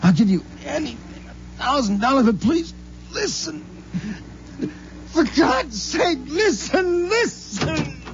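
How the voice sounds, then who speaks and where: An actor playing an excitable private detective in over his head, halting and emphatic, in a 1950s radio drama. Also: extremely high pitch, heavily acted. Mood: desperate pleading. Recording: muffled, hissy 1950s radio recording.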